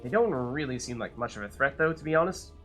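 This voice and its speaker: A man's voice: medium pitch, expressive, quick pace, a bit bright, faint music behind. A man giving casual live commentary on a video game, chatting and thinking aloud as he plays. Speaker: game streamer, host.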